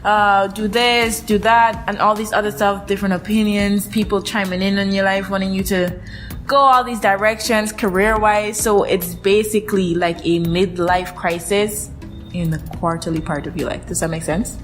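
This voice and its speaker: A woman's voice: mid-range voice, fairly even intonation, normal speed, faint music behind. Giggly woman in her twenties, rambling playfully. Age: 20s. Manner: laughs often, playful and rambling. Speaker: podcast co-host. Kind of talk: casual chat.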